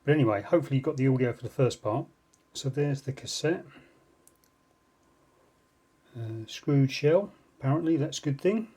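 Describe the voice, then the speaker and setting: A man's voice: medium pitch, a little fast, mellow, slightly rough. A man hosting an unboxing video with casual, unscripted commentary and dry, self-deprecating asides. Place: indoors at a tabletop. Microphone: phone filming the tabletop.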